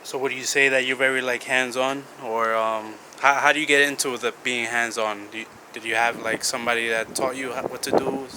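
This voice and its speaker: A man's voice: mid-range voice, flat delivery, brisk, hardly any pauses, bright, forceful tone, slight background noise, low-quality recording. A man hosting a casual interview, asking friendly questions with short reactions. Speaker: interviewer, channel host.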